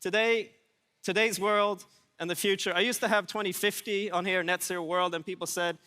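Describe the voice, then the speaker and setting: A man's voice: high-pitched, fairly even intonation, normal speed, pushed and bright, smooth. A man giving an informal conference presentation in a dry, wry, casual manner. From Sweden. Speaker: conference speaker. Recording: echoey hall with long reverb.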